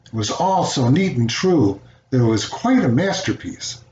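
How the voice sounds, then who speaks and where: An older man reading a children's fairy tale aloud as a storyteller, with an expressive delivery. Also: mid-range voice, moderate pace. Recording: roomy, dull home recording, faint noise.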